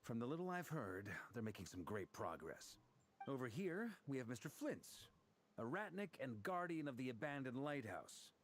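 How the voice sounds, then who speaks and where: A man's voice: mid-range voice, big pitch swings, normal speed, a little hiss or hum, lightly acted. An actor playing a polite young man in a video game, speaking formally and chattily with slight awkwardness. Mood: courteous, upbeat.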